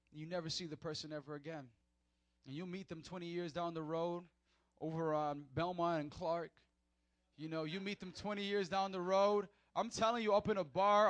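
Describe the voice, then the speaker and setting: High-voiced animated male preacher. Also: no echo. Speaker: pastor. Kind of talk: sermon. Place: church.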